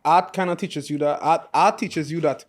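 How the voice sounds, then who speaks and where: Higher-voiced male podcast host. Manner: affirming, quick agreement and friendly questions. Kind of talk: casual interview chat.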